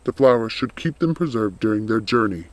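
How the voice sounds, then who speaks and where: A man playing a loyal undead skeletal servant in a storybook, speaking in a grave, earnest, measured and protective way. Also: fairly low pitch, expressive, no echo, close to an everyday voice. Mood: grave, urgent.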